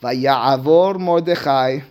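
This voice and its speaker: A man's voice: medium pitch, slow, a little mellow, smooth. A man teaching scripture as a rabbi, emphatic and rhetorical, repeating phrases for stress and moving between English and Hebrew verses. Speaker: rabbi giving a class on the Book of Esther. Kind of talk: religious lecture, close textual commentary.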